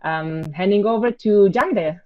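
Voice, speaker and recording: A Czech woman with a mid-pitched voice introduces herself on a video call. The sound is dry and a little dull. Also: measured pace, no echo. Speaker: guest, regional sales team head.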